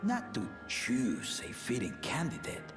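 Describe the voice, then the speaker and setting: An actor playing an aging, battle-loving king and father in a video game, grave and shrewd, with a measured, regal delivery. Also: mid-range voice, huge pitch swings, roomy sound, big-room sound, music in the background. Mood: grave, commanding. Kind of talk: scripted video game voice acting.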